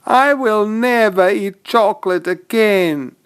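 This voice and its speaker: A man's voice: sits high, slow pace, clean tone, voice pushed up. A man voicing a naughty little kangaroo boy, plaintive and exclaiming, in a children's storybook. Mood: rueful.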